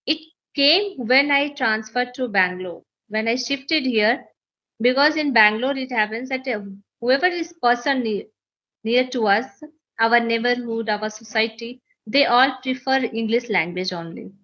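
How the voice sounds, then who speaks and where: A woman's voice: a little high, measured pace, a bit bright, rough recording. Earnest Indian woman English teacher. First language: Maithili. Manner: earnest, explanatory, repeats herself to stress points. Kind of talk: interview, sharing learning advice.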